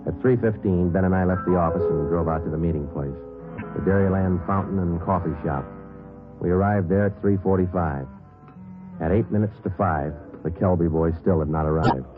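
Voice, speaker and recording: A male actor plays a businesslike American police detective in an old radio drama. His voice is low and deadpan, rattling along briskly in clipped procedural sentences. The sound is narrow vintage radio with music. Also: lightly acted. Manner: clipped, deadpan, matter-of-fact. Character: male police sergeant, terse, unflappable, businesslike narrator. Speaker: actor playing lead police detective and narrator. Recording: band-limited old radio recording with music.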